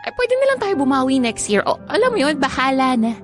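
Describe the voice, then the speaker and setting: A woman's voice: sits high, very animated, fast talker. A woman with a Filipino accent playing an evasive, weary girlfriend in an online radio drama, reluctant and defensive. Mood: reluctant, irritated. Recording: music bed under the voice.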